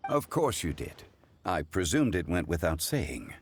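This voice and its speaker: A man voicing a calm, learned judge who speaks in a measured, formal way, in a game cutscene. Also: sits low, husky, full character voice. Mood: composed. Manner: measured, formal, precise diction.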